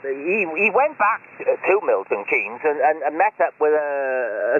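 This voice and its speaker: Tinny, lively-pitched man on ham radio. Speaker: amateur radio operator. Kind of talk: casual radio chat. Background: radio hiss.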